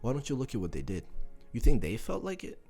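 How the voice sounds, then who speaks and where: A man's voice: medium pitch, wildly swooping pitch, fast, dark tone, breathy edge, soft background music. A man in his late teens, from Serbia and the US, gives an emphatic motivational speech built on repeated phrases and rhetorical questions. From born in Serbia, moved to the US. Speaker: motivational content creator.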